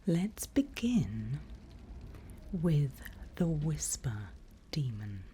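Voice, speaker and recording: Female ASMR demon guide: mellow, breathy whisper, unhurried, teasing and quietly menacing. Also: low voice, sing-song, slight background noise. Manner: slow, deliberate, playful menace. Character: seasoned female demon mentor, sly and teasing. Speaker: ASMR roleplay performer. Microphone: close ASMR microphone.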